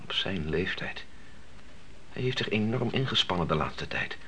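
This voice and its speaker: An earnest grown son in a radio play, with a low-pitched voice. He questions and argues cautiously, often halting before he gets to the point. The old recording carries faint noise. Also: lively intonation, fairly bright tone. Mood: reasoning, insistent. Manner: hesitant, halting, argumentative.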